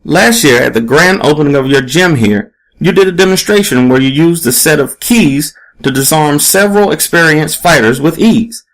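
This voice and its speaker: Man voicing a pushy, higher-pitched woman reporter. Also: animated, normal speed, rough recording. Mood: insistent. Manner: emphatic, probing, insistent. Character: pushy, relentless female investigative reporter.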